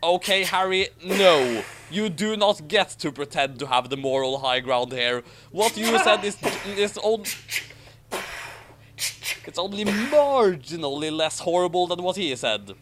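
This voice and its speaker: A man's voice: high-pitched, sing-song, measured pace, noisy background. A man reading a story aloud with sarcastic, exasperated commentary. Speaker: reader of a fan fiction with sarcastic commentary. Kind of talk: dramatic reading with sarcastic asides.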